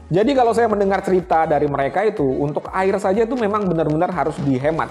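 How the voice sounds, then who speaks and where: Higher-pitched man explaining to viewers. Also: lively intonation, fast talker, darkish tone, soft background music. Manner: conversational, addresses viewers as friends with rhetorical questions. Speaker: air traffic controller and channel host. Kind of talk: explanatory talk to camera. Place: small indoor room, studio-like set. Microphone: clip-on lapel mic.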